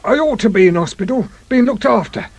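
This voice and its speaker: A man's voice: sits high, lively intonation, brisk, husky, full character voice. An actor playing a rambling, stammering British male fantasist who insists on his confessions in a radio drama. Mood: confessing, muddled.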